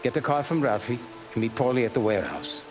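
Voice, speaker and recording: An actor playing an older, measured and paternal mafia consigliere who lectures deliberately in a video game. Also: male voice, mid-range voice, over music, close to an everyday voice. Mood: calm, businesslike. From Sicily. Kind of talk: video game cutscene acting.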